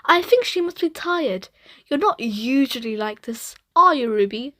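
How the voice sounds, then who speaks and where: Woman playing bright-voiced, flustered storybook mum. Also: high voice, animated, a little slow, no room sound, clean studio sound. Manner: short firm instructions, tag questions. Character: patient but flustered mother, instructing and pleading.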